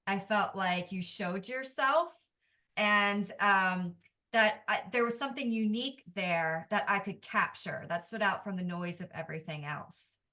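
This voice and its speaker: A woman speaks as a guest in a casual conversation, earnest and reflective. Her voice is bright and forceful, and she builds her points in short phrases. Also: medium pitch, a little slow. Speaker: guest, executive function coach and doodle artist.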